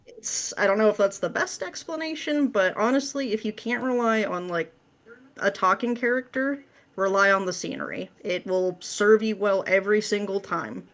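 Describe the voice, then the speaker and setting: A woman's voice: medium pitch, lively intonation, moderate pace, fairly bright tone. A woman speaking as a podcast guest in a casual, candid, chatty and informal way. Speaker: podcast guest, script writer. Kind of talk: casual interview chat.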